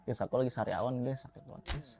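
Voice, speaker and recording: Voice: medium pitch, quick pace, noisy background. A gamer chatting and bantering casually with friends on a football game livestream.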